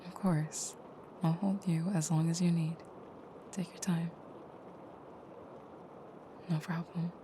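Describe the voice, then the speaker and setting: A low-voiced vampire girlfriend played by a woman in an intimate audio roleplay. She murmurs softly, often slipping into whispers. Clear background noise sits behind her. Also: little pitch movement, slow, long pauses. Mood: tender, soothing. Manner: soft, breathy murmuring, often whispered, soothing. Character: female vampire girlfriend, protective and tender, menacing in nightmare.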